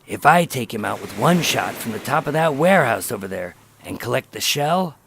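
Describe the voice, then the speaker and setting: A man playing a weary, calculating undercover agent, terse and measured, in a noir crime radio drama. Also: medium pitch, sing-song, gravelly, fairly bright tone, slight background noise. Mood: stern, commanding. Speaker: lead actor and narrator. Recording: music under the voice.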